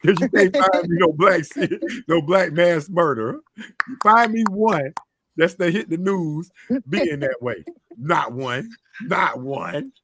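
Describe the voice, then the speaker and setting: A man's voice: fairly high pitch, a little slow, background noise. A man hosting a casual live stream, bantering bluntly with plenty of swearing and teasing as he talks and reads out comments. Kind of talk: casual panel banter, reading out viewer comments.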